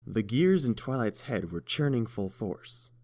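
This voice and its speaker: A man's voice: on the low side, sing-song, measured pace, a little mellow, no room sound. A man reading scripted story narration as the narrator.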